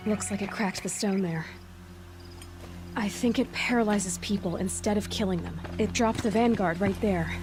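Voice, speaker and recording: An actress playing a sharp, observant young huntress in a video game, reasoning in a calm, matter-of-fact way. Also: female voice, medium pitch, normal speed, lightly acted. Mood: analytical. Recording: dramatic music and game sounds behind.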